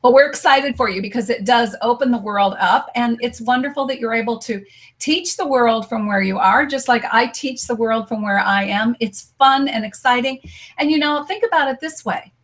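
A woman's voice: a little high, moderate pace, fairly bright tone. An American woman hosting a live stream interview show, upbeat and promotional. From United States. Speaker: host.

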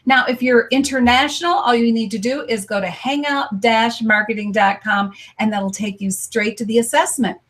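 American woman, show host, upbeat sales-y delivery, slightly high voice. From United States. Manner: upbeat, promotional. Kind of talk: live stream interview show.

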